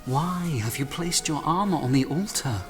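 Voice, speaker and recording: An actor playing a gentle, concerned friar in an audio drama. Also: male voice, a little high, normal speed, soft background music, lightly acted.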